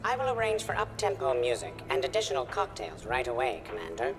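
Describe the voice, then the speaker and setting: An actress playing an obliging artificial intelligence assistant in a video game, formal and precise. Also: female voice, extremely low pitch, huge pitch swings, brisk, faint music behind, heavily acted. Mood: courteous.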